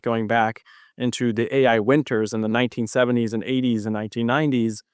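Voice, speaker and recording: A man's voice: medium pitch, clear, resonant tone, no room sound. Man explaining research, dry close sound. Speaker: guest physician researcher. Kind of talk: conversational expert interview.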